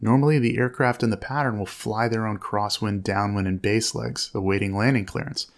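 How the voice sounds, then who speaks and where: A man giving measured, instructive commentary on air traffic audio as a narrator. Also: on the low side, fairly even intonation, vocal fry.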